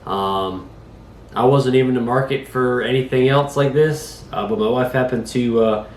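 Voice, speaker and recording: A man's voice: medium pitch, normal speed, reverberant, slight background noise. A man recovering from a cold, hosting a casual drone review from his kitchen table in a folksy, chatty way with lots of fillers. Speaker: host of a drone review channel.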